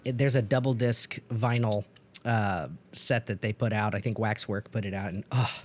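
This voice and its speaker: A man's voice: lowish voice, fairly even intonation, normal speed, slight background noise. A man hosting a podcast in casual conversation, speaking enthusiastically and gushing about the things he loves. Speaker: podcast host and producer.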